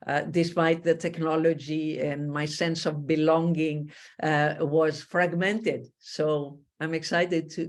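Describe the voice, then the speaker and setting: A middle-aged woman from Greece, speaking as a panel guest in a measured, reflective way. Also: low-pitched.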